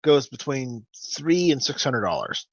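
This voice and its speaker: A man chatting casually as a podcast co-host, joking and quick to tease. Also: mid-range voice, sing-song, no room sound. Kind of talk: casual chat about firearms. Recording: dull lo-fi mic audio.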